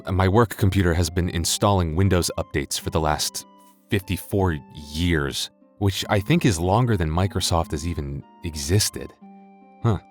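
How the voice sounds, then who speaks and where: A man playing a goofy, laid-back otherworldly computer being who hosts an audio channel, chatting casually in a sheepish, halting, jokey way. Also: low-pitched, faint music behind, natural voice. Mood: goofy, distracted. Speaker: audio creator addressing listeners. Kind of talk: casual in-character outro chat.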